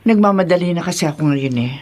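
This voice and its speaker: A woman's voice: fairly low pitch, animated, fast talker, darkish tone, close to an everyday voice. A woman with a Filipino accent acting a well-off, emotional middle-aged mother in a Tagalog radio drama. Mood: excited, polished.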